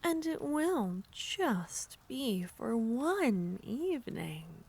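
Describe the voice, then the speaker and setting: A woman playing a slow, whispery yandere witch. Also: on the high side, very animated, creaky, breathy edge. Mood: teasing. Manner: soft-spoken, half-whispered, teasing. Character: seductive possessive female witch, teasing and scheming. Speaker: ASMR roleplay performer. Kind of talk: ASMR roleplay.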